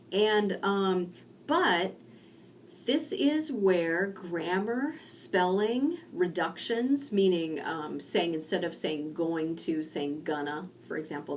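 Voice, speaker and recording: Woman, middle-aged, from rural Indiana, unhurried lecturer, slightly low pitch, webcam sound. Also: recorded from a distance, slight background noise. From rural Indiana, USA. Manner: measured, explanatory, pauses with um. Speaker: university lecturer. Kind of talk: recorded online lecture. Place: home study with bookshelves.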